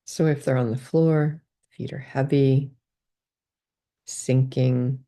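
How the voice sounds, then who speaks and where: A woman leading a guided body scan meditation, giving calm, gentle step-by-step instructions. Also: very deep voice, slow pace.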